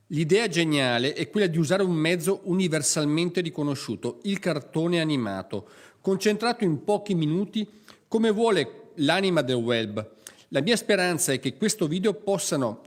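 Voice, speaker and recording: A man addresses a press conference as a politician. His delivery is formal and earnest, with rhetorical phrasing. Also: fairly high pitch. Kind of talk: prepared formal speech.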